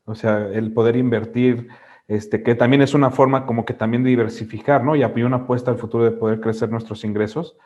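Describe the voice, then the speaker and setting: A man's voice: medium pitch, steady tone, brisk, darkish tone. A man with a Mexican Spanish accent introducing himself informally on a video call, in a hesitant, rambling way with many self-corrections. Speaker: class participant. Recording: dull lo-fi video call audio.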